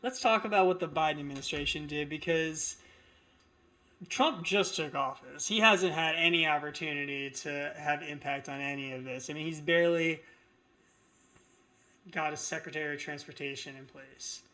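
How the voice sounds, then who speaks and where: Male political commentator, higher-than-average voice, indignant and emphatic, unscripted opinion delivery. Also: moderate pace, pushed and bright. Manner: indignant, emphatic, repetitive phrasing.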